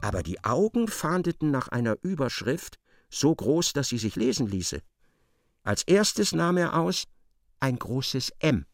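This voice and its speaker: Male narrator for a radio drama: mid-range voice, animated melody, close dry studio recording. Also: medium pitch, a little slow, vocal fry, no room sound, soft background music.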